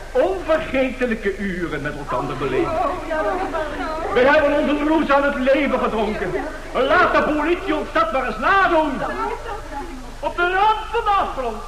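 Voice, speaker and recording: A man's voice: very high-pitched, big pitch swings, measured pace, over a noisy crowd, heavy background noise, full character voice. An actor playing a glib, brazen swindler and seducer of women in a radio play, theatrical in his bargaining and pleading. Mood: grandiose pleading. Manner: theatrical, swinging from cool bargaining to florid pleading. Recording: roomy radio drama recording.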